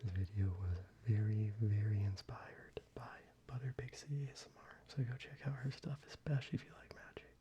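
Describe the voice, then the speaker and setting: Male ASMR host, breathy soft-spoken whisper, very mellow and unhurried, gentle halting delivery, slightly dull recording. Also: lowish voice, slight background noise. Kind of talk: soft-spoken ASMR, showing a card collection.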